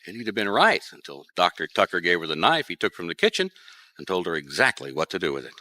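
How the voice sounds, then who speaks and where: A man's voice: deep voice, big pitch swings, fast talker, sharp tone, no room sound, heavily acted. A man acting a wry, shrewd private detective in a noir radio mystery, probing in a dry, colloquial way. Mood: musing. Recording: faint noise, live theatre stage.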